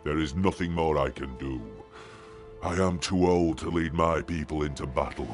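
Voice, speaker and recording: An actor playing a weary, grieving old lord in a fantasy video game, with a gravelly, husky voice and slow, imploring delivery. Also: male voice, a bass voice, soft background music. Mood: grave, despairing. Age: older. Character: weary old male lord grieving his slain son. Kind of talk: dramatic video game acting.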